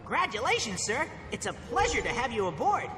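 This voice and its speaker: A man's voice: very high voice, very animated, a little fast, big-room sound. An actor voicing a fussy, nervous, eager-to-please little male caretaker robot, with game music behind.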